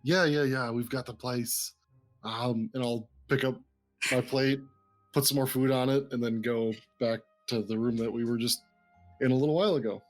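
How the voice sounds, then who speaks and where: Relaxed tabletop player. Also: mid-range voice, normal speed, faint music behind. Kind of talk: casual tabletop play.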